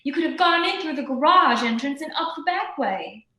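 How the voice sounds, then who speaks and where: A woman's voice: high voice, expressive, normal speed, bright, forceful tone, reverberant. A woman playing an accusing young woman in a 1940s radio detective drama.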